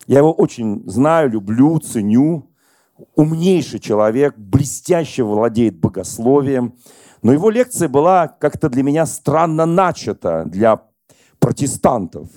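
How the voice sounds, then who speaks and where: A middle-aged man preaching a sermon as pastor, telling stories and questioning the congregation in a lively conversational way. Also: medium pitch, measured pace, no echo. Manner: conversational, animated storytelling to congregation.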